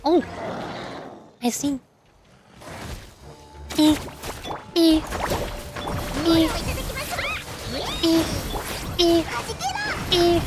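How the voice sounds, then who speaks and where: A woman's voice: extremely high pitch, steady tone, drawn-out words, pauses often. A woman streamer giving casual gameplay commentary, playfully muttering to herself as she plays. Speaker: streamer, vtuber. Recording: game music behind the voice. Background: faint game music.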